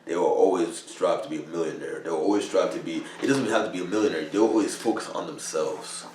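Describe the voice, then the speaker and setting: A man's voice: deep voice, fast, darkish tone, reverberant, recorded from a distance, a little hiss or hum. A man in his thirties from New York, a soldier casually rambling in slangy talk with lots of "bro" and swearing. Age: 30s. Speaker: vlogger, army soldier. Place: army barracks room.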